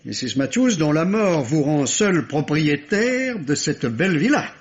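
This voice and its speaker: A man's voice: on the high side, very animated, a little slow. A man acting a shrewd, insistent police inspector in a vintage radio mystery, speaking formally and precisely. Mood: probing. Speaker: radio drama actor. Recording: dull, lo-fi old radio recording.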